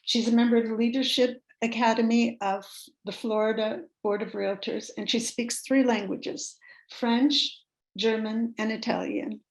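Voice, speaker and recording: Higher-voiced woman introducing a speaker. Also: steady tone, measured pace, poor audio. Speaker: event introducer, realtor. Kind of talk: formal introduction. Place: office.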